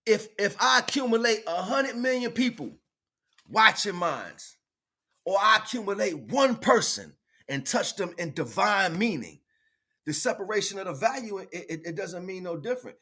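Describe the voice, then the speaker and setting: A man's voice: high-pitched, big pitch swings, normal speed, sharp tone. A man giving an improvised spiritual, motivational livestream talk in an emphatic, preachy way, repeating phrases for effect.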